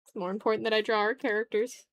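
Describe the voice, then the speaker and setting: A woman's voice: medium pitch, steady tone, quick pace. Casual, playful woman art streamer. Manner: playful, dramatic groaning, swears casually. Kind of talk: casual live-stream chat while drawing.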